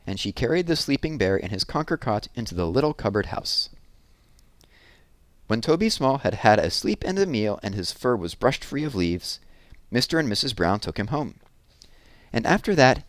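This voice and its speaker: A man reading a children's picture book aloud in a gentle, expressive storytelling manner. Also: mid-range voice, no room sound.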